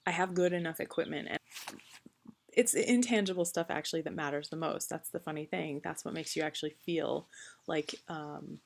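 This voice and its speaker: A woman in her late thirties speaks in a casual, reflective vlog, pausing often with ums. Her voice sits fairly low and carries a noticeable gravelly fry. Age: 30s. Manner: earnest and reflective, frequent ums. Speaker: vlogger. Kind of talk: casual talk to camera.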